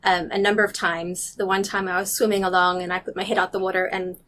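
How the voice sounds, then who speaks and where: A woman's voice: lowish voice, level tone, quick pace, a bit bright, slight background noise. Enthusiastic woman with a blended accent. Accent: blended international, slight Canadian. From South Africa. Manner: enthusiastic, curious, explains carefully with small restarts. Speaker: guest, nature recorder. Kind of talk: casual interview chat. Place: restaurant or office in a city.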